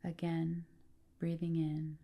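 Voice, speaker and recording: A woman guiding a relaxation meditation in a calm, soothing manner, speaking in deliberate phrases with pauses. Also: sits low, gravelly. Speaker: yoga nidra guide. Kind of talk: guided relaxation meditation, scripted narration.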